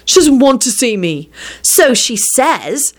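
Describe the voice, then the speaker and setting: A woman's voice: on the high side, big pitch swings, takes it slowly, pitched above the performer's own voice, full character voice. A woman voicing a sullen grown man, a defiant and whiny twin brother who barks back in argument. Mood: bitter, defensive. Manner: surly, barking, defiant.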